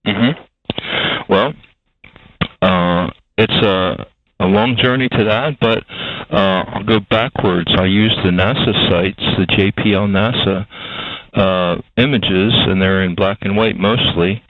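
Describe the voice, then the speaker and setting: A man's voice: low-pitched, no room sound. A man in his sixties from Delaware with a Delaware-Philadelphia accent, giving a rambling interview full of fillers and restarts. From Delaware, USA. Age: 60s. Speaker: guest. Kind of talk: casual interview talk. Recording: tinny phone line.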